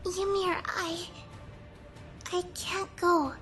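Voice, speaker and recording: A gentle, earnest girl voiced by a woman with a very high, slow delivery. She sounds hesitant yet resolute, over faint music. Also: level tone, heavily acted. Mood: hesitant resolve. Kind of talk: animated sci-fi parody voice acting.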